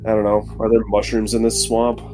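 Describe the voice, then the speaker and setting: Man, fairly low pitch, tabletop player, irreverent, sweary, casual chat, prominent background music. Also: completely flat delivery, brisk, mellow, poor audio. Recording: clear music behind the voice.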